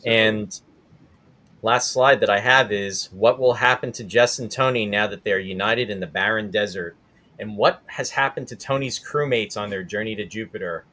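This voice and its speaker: A man's voice: on the low side, normal speed, bright, forceful tone. A man hosting a casual online talk show, chatting informally with guests and reading items out to them. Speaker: show host.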